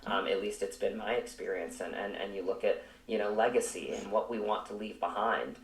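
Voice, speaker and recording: Male interview guest, lowish voice, earnest and searching, recorded away from the mic. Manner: earnest, halting, repeats words while searching for phrasing. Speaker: actor, podcast guest. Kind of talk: reflective interview talk. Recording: roomy, echoing, distant from mic.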